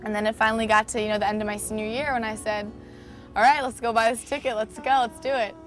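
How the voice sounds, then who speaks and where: A woman's voice: fairly high pitch, steady tone, quick pace, fairly bright tone. Earnest 19-year-old New woman. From New York, USA. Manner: earnest, plain-spoken, with fillers. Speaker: soldier, sniper instructor. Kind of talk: personal interview testimony. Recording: music playing underneath.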